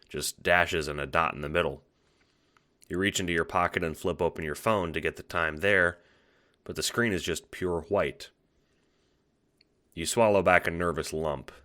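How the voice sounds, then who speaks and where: A man narrating an audio drama in a scripted, suspenseful style, halting with dramatic pauses. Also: rumbling low, pushed and bright.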